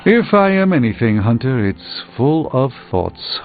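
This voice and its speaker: A man's voice: medium pitch, big pitch swings, a little slow, phone-quality sound, soft background music. A man voicing an erudite, self-assured middle-aged sorcerer in a video game, speaking in precise, wordy, pompous phrases. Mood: musing, philosophical.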